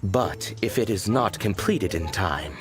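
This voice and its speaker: Game villain: low, deliberate, formal and coldly threatening, expressive swings, music behind. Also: male voice. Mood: coolly threatening. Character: cold, menacing male agent of a shadowy organization. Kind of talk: video game villain dialogue.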